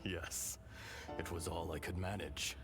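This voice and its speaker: Ancient weary spirit, video game. Deep, slow, grave and formal. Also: male voice, level tone, over music. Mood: grave, measured. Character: calm, solemn ancient male spirit, sealed and weary. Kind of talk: dramatic video game dialogue.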